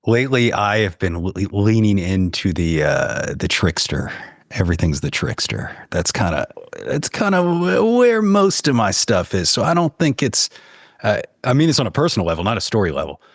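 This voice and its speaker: Very low-voiced, reflective man from New Orleans. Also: sing-song, normal speed, no room sound, studio quality. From New Orleans, United States. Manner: hesitant, reflective, thinks aloud. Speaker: podcast guest, horror fiction writer. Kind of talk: casual chat.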